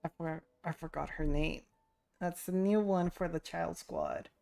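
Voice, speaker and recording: A woman's voice: fairly low pitch, fairly even intonation, a little slow, creaky, mellow. A woman livestreaming a game with casual, rambling, giggly chat. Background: game music.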